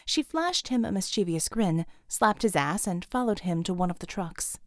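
A woman's voice: medium pitch, animated, moderate pace, no echo, studio quality. A woman reading scripted action fiction as a dramatic audiobook narrator.

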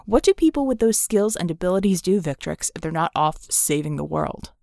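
A woman voicing a cynical, haunted male metahuman ex-thief in an audiobook, telling his past in a wry, measured way. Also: fairly low pitch, expressive, gravelly, mellow, no echo, studio quality. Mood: guarded, sardonic.

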